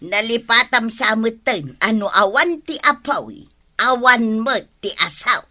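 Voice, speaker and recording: A woman's voice: fairly high pitch, lively intonation, a little slow, bright, forceful tone, full character voice. A woman playing a suspicious, nagging wife who keeps questioning insistently in an Ilocano comedy drama. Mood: accusing, insistent. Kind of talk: Ilocano radio-style comedy drama acting.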